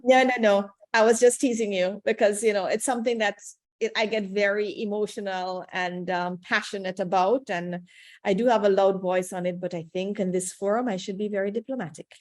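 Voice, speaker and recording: A woman, a third-generation cocoa farmer answering webinar questions over a video call, measured and diplomatic with frequent hesitations. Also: medium pitch, fairly even intonation, dry recording.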